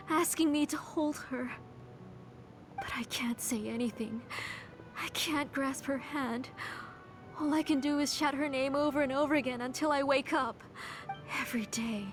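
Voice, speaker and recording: Bitter grieving mother, middle-aged, slow, high, hushed whisper, game music behind. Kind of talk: video game voice acting. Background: game score music.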